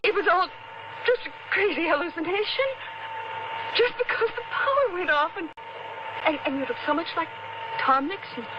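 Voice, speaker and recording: A hysterical young murderess. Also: female voice, extremely high pitch, lively intonation, moderate pace, pauses often. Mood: shaken, pleading. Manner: sobbing, frantic, breathless pleading. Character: desperate young woman confessing murder, hysterical. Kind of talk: 1950s radio drama acting. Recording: muffled noisy old radio broadcast.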